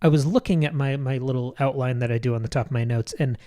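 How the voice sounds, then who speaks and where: A man's voice: medium pitch, fast talker, dark tone. A man co-hosting a book club podcast, thinking aloud in articulate, layered literary analysis. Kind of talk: literary discussion, analytical chat.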